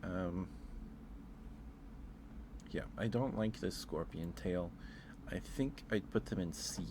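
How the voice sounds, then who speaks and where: A soft-spoken man hosting a casual ASMR stream, chatting with viewers and musing aloud in a hesitant way. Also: sits low, slow, noisy background.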